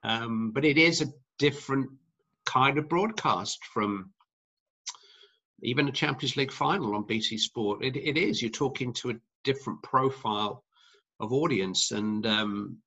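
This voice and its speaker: A man's voice: medium pitch, unhurried, sharp tone, dull audio. A middle-aged man, a sports commentator, giving long, rambling interview answers full of restarts and fillers.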